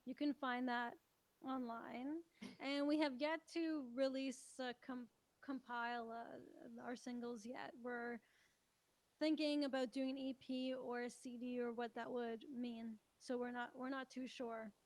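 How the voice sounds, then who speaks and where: High-voiced woman chatting as a guest. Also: little pitch movement, measured pace, no room sound. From Canada. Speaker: country musician guest. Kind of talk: casual interview chat.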